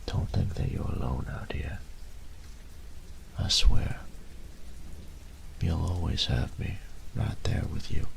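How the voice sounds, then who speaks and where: Man playing a very deep, gravelly tender roommate. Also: slight background noise, heavily acted, low-quality recording. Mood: earnest, reassuring. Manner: soft breathy murmurs, teasing pet names. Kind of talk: intimate romantic audio roleplay. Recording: rain and thunder ambience behind voice. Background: rain and thunder.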